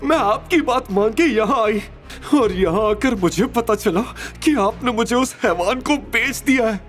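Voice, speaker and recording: A man's voice: very high-pitched, expressive, measured pace, soft background music, pitched above the performer's own voice. A man voicing an indignant, hurt young woman with a fiery temper, in an audio drama. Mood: furious, hurt. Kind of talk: Hindi audio drama acting.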